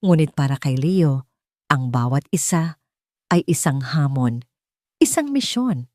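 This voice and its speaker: A woman's voice: deep voice, animated, unhurried, darkish tone, no echo, studio quality. A Filipino woman reading a scripted story as an audiobook narrator.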